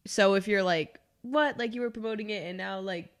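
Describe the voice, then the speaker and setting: A woman's voice: medium pitch, brisk. Woman, twenties, congested, stuffy nose, casual podcast chat, filler-heavy. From Miami, Florida. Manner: chatty, many "like" fillers. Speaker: podcast co-host.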